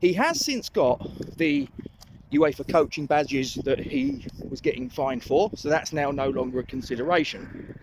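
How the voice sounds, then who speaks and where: London bloke rambling on a dog walk, slightly high voice, bit of outdoor hiss. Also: male voice, very animated, a little slow. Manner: chatty, rambling, self-correcting. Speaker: fan channel host. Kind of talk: casual monologue while walking the dog.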